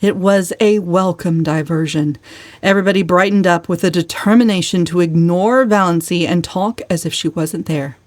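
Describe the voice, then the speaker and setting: A woman's voice: fairly low pitch, a little mellow, no echo. A woman narrating a novel as a dramatic, scripted literary reading. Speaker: audiobook narrator.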